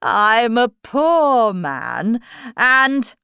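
A woman's voice: a little high, sing-song, extremely slow, gravelly, pushed and bright, clear, resonant tone, no room sound, studio quality, pitched above the performer's own voice, exaggerated voice. A woman voicing a flustered, apologetic poor hatter, hesitant and pleading, in a storybook.

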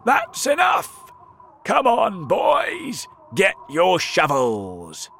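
A man voicing a bossy, boisterous hairy cloud giant in a storybook, shouting drawn-out commands. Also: sits high, wildly swooping pitch, gravelly, a little hiss or hum, pitched above the performer's own voice, exaggerated voice. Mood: rowdy shouting.

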